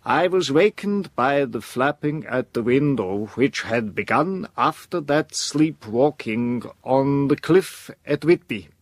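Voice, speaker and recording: Animated, commanding learned mentor. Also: male voice, mid-range voice, slow, hardly any pauses, dry recording. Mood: reassuring, grave. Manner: theatrical, emphatic, solemn. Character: grave, commanding learned male mentor, vampire hunter. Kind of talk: audio drama acting.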